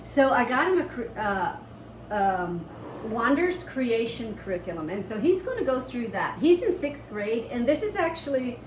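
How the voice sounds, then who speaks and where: A middle-aged woman with a fairly high voice talks casually to viewers as a homestead vlogger. The room is noticeably echoey, and a faint noise sits behind her conversational delivery. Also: normal speed. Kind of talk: casual chat. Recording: roomy echo with steady background noise.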